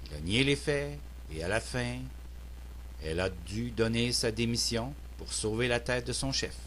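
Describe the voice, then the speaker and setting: A man's voice: mid-range voice, moderate pace, a bit bright, slight background noise. A man with a Québécois French accent delivering a prepared political opinion monologue in a formal, earnest, declamatory manner. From Quebec, Canada. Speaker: citizen political commentator.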